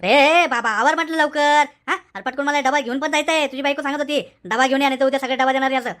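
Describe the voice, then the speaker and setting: A woman's voice: high voice, fairly even intonation, fast, fairly bright tone. A woman voicing an impatient, money-minded village man in a comedy cartoon, repeating phrases. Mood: bargaining. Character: hurried, money-minded male village go-between. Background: faint music.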